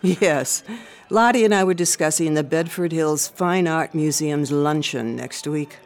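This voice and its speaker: A woman acting in a radio drama as a concerned, compassionate society aunt, earnest and expressive in her delivery. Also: low voice, unhurried. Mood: surprised, sociable.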